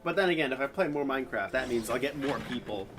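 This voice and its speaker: A male game streamer chats casually in a mid-pitched voice. He rambles and hesitates, thinking aloud, with faint game music behind him. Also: expressive, quick pace, a bit bright. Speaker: game livestreamer. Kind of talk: casual livestream chat over gameplay. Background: faint game music and dialogue.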